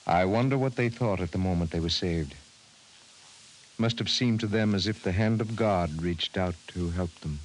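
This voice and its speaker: An actor playing a humble, reflective professor, speaking solemnly in 1950s radio drama style. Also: male voice, deep voice, close to an everyday voice, rough recording. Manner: solemn, measured oratory. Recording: hissy vintage 1950s radio recording.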